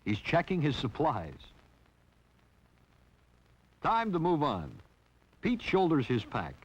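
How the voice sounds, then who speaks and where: A man's voice: on the high side, huge pitch swings, takes it slowly, breathy edge. A man narrating a 1960s training film in a wry, teasing scripted style. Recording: dull lo-fi 1960s film soundtrack.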